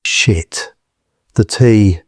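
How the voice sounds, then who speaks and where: Low-voiced, shaken but kindly man. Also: very slow, no room sound, studio quality. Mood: flustered. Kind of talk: audio drama acting.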